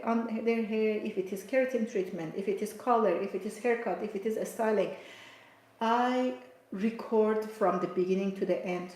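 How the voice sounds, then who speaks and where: A woman whose first language is Farsi explains hair color steps in a relaxed live stream, pausing on fillers and restarting words. She talks at a middling pitch, and the sound carries the echo of a roomy space. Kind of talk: live tutorial talk. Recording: echoey room.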